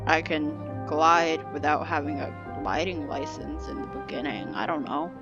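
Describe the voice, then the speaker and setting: A woman's voice: deep voice, moderate pace, lo-fi sound. A woman giving casual gameplay commentary as a streamer, with offhand, wry, half-muttered reactions. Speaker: game streamer. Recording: loud game music behind. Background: game music.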